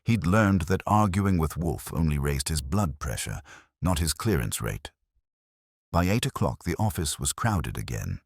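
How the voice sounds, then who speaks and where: A man narrates a detective story in an extremely low voice. His pitch moves around in a lively way as he reads the scripted first-person prose. The sound is dry. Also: measured pace, no room sound.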